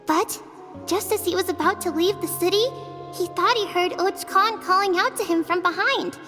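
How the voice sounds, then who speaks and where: A woman's voice: extremely high pitch, normal speed, big-room sound, music in the background. A woman voicing a young girl of a tribe in a game, telling an old legend in an earnest, matter-of-fact way. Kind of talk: game dialogue voice acting.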